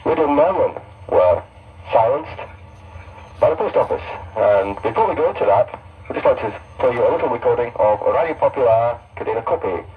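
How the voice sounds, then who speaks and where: A man's voice: medium pitch, a little hiss or hum. A man presenting on radio in a casual, unpolished way, reading loosely from notes. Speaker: shortwave radio station presenter. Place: pirate radio station broadcasting from Scotland. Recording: tinny, distant shortwave radio broadcast.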